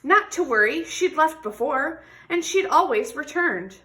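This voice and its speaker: A woman's voice: high voice, animated, unhurried, bright, forceful tone, poor audio. A woman reading a children's picture book aloud expressively, stretching words for emphasis and putting questions to young listeners. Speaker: read-aloud storybook reader.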